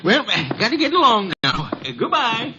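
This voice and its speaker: An actor playing a muddled, folksy old messenger who stammers and rambles in an old radio drama. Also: male voice, very high-pitched, very animated, moderate pace, noisy background. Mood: rambling, folksy. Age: elderly. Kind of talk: 1940s-50s American radio acting. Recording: dull old radio recording.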